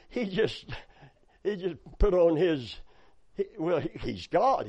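A hoarse man preaching a sermon, telling folksy stories and calling out amen and hallelujah. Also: fairly high pitch, mellow. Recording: dull lo-fi sound.

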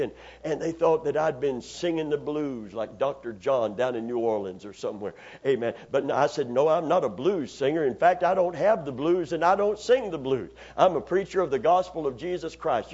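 A man's voice: a little high, expressive, normal speed, darkish tone. Man, pastor, sermon, call-and-response, asks for amens, dull lo-fi church recording. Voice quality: raspy after Sunday preaching. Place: church sanctuary.

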